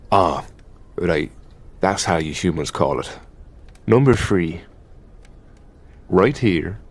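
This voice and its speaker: Man acting a deep, gravelly Irish demon. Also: full character voice. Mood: demanding. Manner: commanding, foul-mouthed, taunting, drawn-out delivery. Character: possessive, menacing, teasing male high demon of lust. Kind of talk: ASMR roleplay, intimate scripted monologue.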